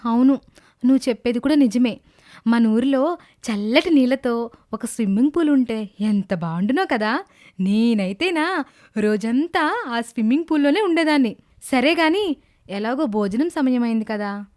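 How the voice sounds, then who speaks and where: A woman's voice: on the high side, expressive, normal speed, long pauses, a little mellow, lightly acted. A woman voicing a chatty, carefree young village woman with a breezy, coaxing manner in a children's cartoon tale. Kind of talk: children's animated moral tale dubbing.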